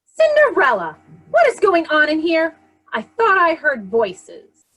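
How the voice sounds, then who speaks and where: Woman acting haughty stepmother in a kids play, super high voice, swings all over, bossy n cooing. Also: wildly swooping pitch, a little slow, a bit bright, exaggerated voice, poor audio. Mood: sharp, scolding. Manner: imperious, scolding and cooing. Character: haughty, bossy stepmother, doting on her favourite daughter. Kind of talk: children's theatre staged reading.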